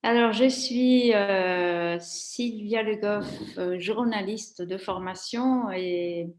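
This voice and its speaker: Italian woman, fifties, reflective guest, mid pitch, hesitant delivery, echoey room. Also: slow pace, darkish tone. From Italy. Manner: hesitant, many fillers and restarts. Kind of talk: reflective interview answer.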